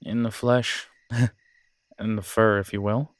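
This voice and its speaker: A man's voice: lowish voice, little pitch movement, takes it slowly, dry recording. A man playing an easygoing werewolf biker in an audio roleplay, casual and joking in manner. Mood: teasing, affable. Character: easygoing, teasing male werewolf biker with corny humour. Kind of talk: scripted audio roleplay drama.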